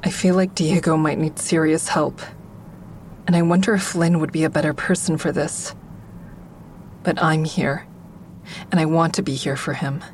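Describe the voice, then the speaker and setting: A woman's voice: deep voice, steady tone, normal speed, long pauses, a little mellow, a little hiss or hum, natural voice. An actress in an audio drama playing a guarded, self-doubting, caring woman, reflective and hesitant in dialogue and first-person narration. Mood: concerned, self-effacing.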